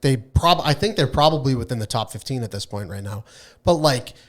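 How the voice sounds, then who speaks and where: A man's voice: medium pitch, lively intonation, brisk. A man co-hosting a casual gaming podcast, talking informally and emphatically with plenty of swearing. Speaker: podcast co-host.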